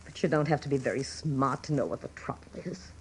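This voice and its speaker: An actress playing a weary, fiercely loyal older wife and mother in a radio drama, pleading emphatically in a husky voice. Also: rumbling low, expressive, fast talker, slight background noise. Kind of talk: dramatic radio play acting.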